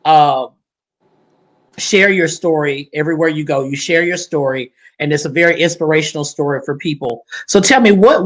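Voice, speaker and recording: A talk show host in their fifties chatting casually with a guest, sassy and folksy, repeating points for emphasis. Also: mid-range voice. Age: 50s.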